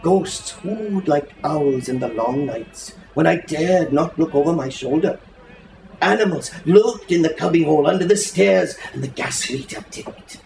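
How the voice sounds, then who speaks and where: A man giving an expressive, lyrical and unhurried reading of a literary story aloud to listeners. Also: on the high side, creaky, slight background noise. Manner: dramatic, lyrical, unhurried delivery. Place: indoor reading in a bookshop. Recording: roomy echo, faint music behind.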